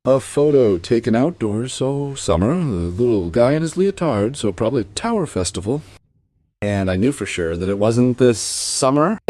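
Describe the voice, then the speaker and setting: A man's voice: lowish voice, a little mellow. An actor playing a self-satisfied newspaper archivist in a radio play, chatting and musing as he goes. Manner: chatty, musing, pleased with himself.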